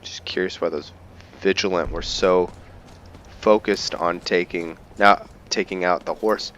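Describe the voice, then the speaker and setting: A man's voice: sits low, fairly even intonation, a little slow, a bit bright, a little hiss or hum. A man doing casual let's play commentary, thinking aloud to his viewers and slipping into roleplay. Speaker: gaming video host.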